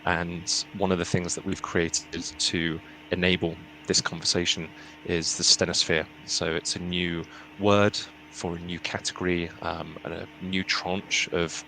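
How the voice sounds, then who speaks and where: A man's voice: low-pitched, pushed and bright. A man hosting an online event and giving earnest opening remarks, with fillers and restarts. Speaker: event host. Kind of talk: introductory remarks. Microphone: video call. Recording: slightly noisy video-call audio.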